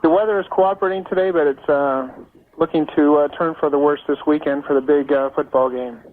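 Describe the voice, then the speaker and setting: A man's voice: fairly high pitch, fairly even intonation, fairly quick. An American man calling a radio show, chatting casually in a polite, agreeable, slightly hesitant way. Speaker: caller. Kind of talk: casual phone-in chat. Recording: tinny phone line on radio.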